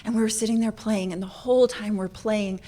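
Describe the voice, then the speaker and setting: A woman's voice: medium pitch, a little fast. A woman from central Florida, born in Norway, sharing a personal story informally with a group in a candid, self-deprecating way. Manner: candid, self-deprecating, animated when acting out moments. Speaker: speaker sharing a personal addiction recovery story.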